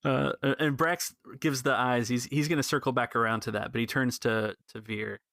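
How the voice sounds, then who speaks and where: A man's voice: medium pitch, fairly even intonation, moderate pace, vocal fry, a bit bright, dry recording, clean studio sound. A man acting as game master, casually narrating a tabletop role-playing game.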